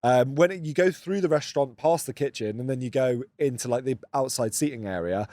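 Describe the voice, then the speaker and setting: A man co-hosting a podcast, telling stories in relaxed, slangy chat that often trails off softly. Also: medium pitch, a little fast, no room sound. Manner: laid-back, slangy storytelling, often trailing off quietly. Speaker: podcast co-host. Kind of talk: casual chat.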